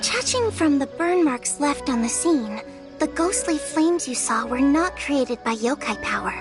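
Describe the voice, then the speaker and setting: A woman voicing a gentle, sincere young female forest spirit in a video game, soft-spoken. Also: sits high, expressive, unhurried. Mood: thoughtful. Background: game soundtrack music.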